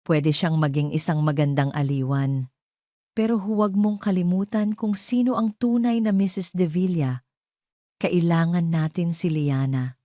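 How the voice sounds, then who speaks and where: A woman's voice: sits low, warm tone, dry recording, clean studio sound. A woman voicing a wealthy, controlling older mother in an audio drama, silky with steel underneath.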